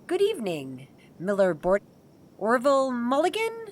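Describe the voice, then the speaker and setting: A woman's voice: on the high side, wildly swooping pitch, unhurried, full character voice. An actress playing a fussy, doting middle-aged mother in a 1920s audio drama.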